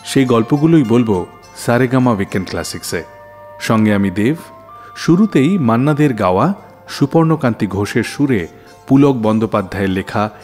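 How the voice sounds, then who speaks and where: Male radio host, animated pitch swings, scripted storytelling, deliberate dramatic pauses, music behind. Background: background music.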